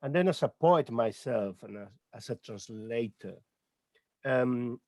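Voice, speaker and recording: A man's voice: mid-range voice, animated, measured pace, darkish tone, no room sound. Hesitant Spanish-accented man, dry sound. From Lorca, Murcia, Spain. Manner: hesitant, many filler sounds. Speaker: poet, translator and educator on a panel. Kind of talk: online panel talk.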